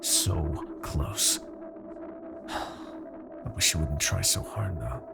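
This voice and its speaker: A man playing an arrogant, possessive demon king in a husky, theatrical ASMR fantasy roleplay. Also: rumbling low, loud music behind the voice, full character voice. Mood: strained, determined. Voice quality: husky, gravelly. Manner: theatrical, deliberate, swinging from murmurs to outbursts. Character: arrogant, possessive male demon king, obsessed with captive hero. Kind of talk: ASMR roleplay, dramatic monologue.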